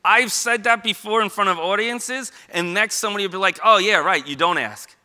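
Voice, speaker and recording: Middle-aged New York man, very bright and somewhat high voice, chatty and emphatic speaker. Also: a little fast. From Queens, New York. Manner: conversational and emphatic, with frequent rhetorical questions. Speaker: speaker at a suicide prevention talk. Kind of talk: informal public talk with slides.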